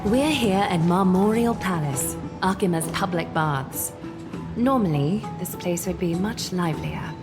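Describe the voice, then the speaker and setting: A composed, mysterious noblewoman hostess in a fantasy video game. Her voice sits on the low side and she speaks with measured, formal courtesy. The delivery is soothing and gracious, with clear music underneath. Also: lively intonation. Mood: calm, informative. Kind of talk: video game character dialogue.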